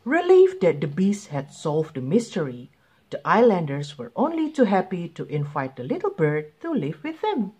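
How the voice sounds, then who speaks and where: A woman reading a children's picture book aloud in an expressive, deliberate way. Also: lowish voice, breathy edge. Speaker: children's story reader.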